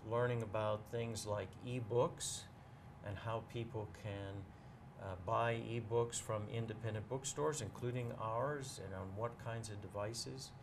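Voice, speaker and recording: A man in a casual interview, talking as a bookseller guest in a measured, explanatory way and pausing to choose his words. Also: fairly low pitch, steady tone, noisy background.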